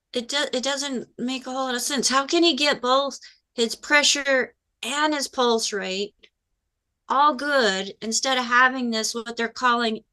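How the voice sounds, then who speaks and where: A woman's voice: fairly high pitch, bright, forceful tone. A woman co-hosting a call-in health show, reading listener questions and speaking upbeat to the audience.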